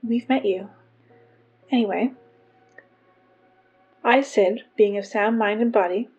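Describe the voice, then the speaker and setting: A woman's voice: medium pitch, vocal fry, warm tone, slightly rough. Dry school announcer woman, muffled lo-fi sound. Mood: dry, reluctant. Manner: dry, wry, matter-of-fact asides. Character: exasperated woman reading school morning announcements in an eerie town. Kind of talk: fiction podcast performed as PA announcements. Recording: dull lo-fi PA sound, music behind.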